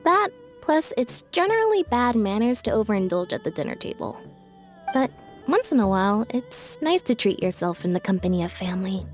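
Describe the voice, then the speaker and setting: A woman voicing a composed, deadpan young performer in an anime game. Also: medium pitch, a little fast, lightly acted. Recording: music behind the voice.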